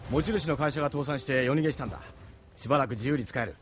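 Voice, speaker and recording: An actor playing a steadfast, authoritative captain of a resistance ship in an anime audio drama, with a firm manner. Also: male voice, medium pitch, racing, soft background music, natural voice. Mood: commanding, reassuring. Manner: firm, commanding.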